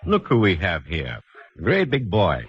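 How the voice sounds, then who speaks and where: An actor playing a jolly, gushing department-store Jack Frost who talks to children in 1940s radio style. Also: male voice, low voice, huge pitch swings, unhurried, a little hiss or hum, heavily acted. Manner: effusive, exclamatory, sing-song with children. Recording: muffled old 1940s radio recording.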